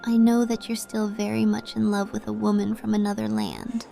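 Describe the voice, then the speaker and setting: A woman as a mellow, creaky-voiced sorceress. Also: mid-range voice, little pitch movement, faint music behind. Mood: mysterious. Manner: measured, mysterious, coy. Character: sly, composed female sorceress and seer. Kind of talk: theatrical fantasy audio drama.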